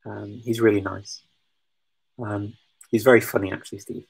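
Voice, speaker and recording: Hesitant man with a gravelly, husky voice. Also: on the low side, fairly even intonation, moderate pace, poor audio. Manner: hesitant, many ums and restarts, self-deprecating. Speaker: language-learning streamer answering viewer questions. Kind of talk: casual live Q&A chat.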